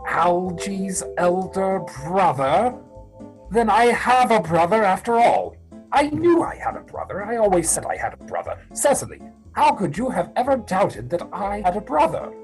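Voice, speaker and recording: A man playing an excitable, earnest young gentleman. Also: sits high, normal speed, faint music behind, low-quality recording. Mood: elated. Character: earnest, excitable young English gentleman seeking his true parentage. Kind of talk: stage comedy dramatic reading. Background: musical score.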